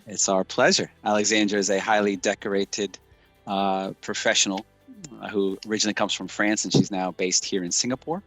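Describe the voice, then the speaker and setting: A man's voice: lowish voice, flat delivery, a little fast, a bit bright, soft background music, poor audio. A man hosting a semi-formal interview, introducing his guest and putting questions. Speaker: interviewer, host.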